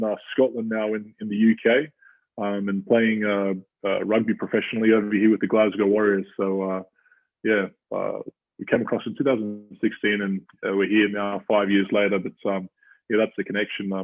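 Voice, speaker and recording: Aussie guy around 30, lowish voice, tinny phone-like sound, lots of ums. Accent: Australian. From Australia. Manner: halting, filler-heavy storytelling. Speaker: guest, professional rugby player. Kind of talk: casual interview chat.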